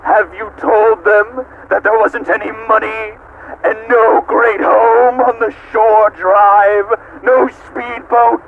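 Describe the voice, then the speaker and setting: A hateful, godlike machine villain, speaks in a high, creaky voice and stretches words with theatrical menace. It is sadistic and mocking, delivering a dramatic monologue on a dull, lo-fi home recording. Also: male voice, noisy background, full character voice. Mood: mocking, accusing. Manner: theatrical, menacing, drawn-out words, swinging from hushed to shrieking. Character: sadistic, hate-consumed male supercomputer, mocking, theatrical, godlike. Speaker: amateur voice actor.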